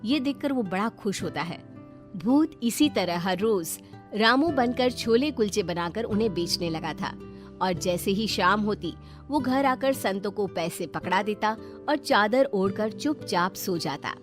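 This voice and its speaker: Lady storyteller, mid pitched voice, reading a scripted tale over light music. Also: lively intonation, unhurried. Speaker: narrator. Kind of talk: scripted bedtime story narration in Hindi.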